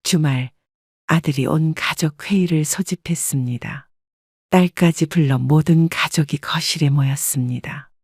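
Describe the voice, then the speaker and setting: Calm woman narrating a family story. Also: deep voice, unhurried, breathy edge, dry recording, studio quality. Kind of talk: scripted first-person story narration.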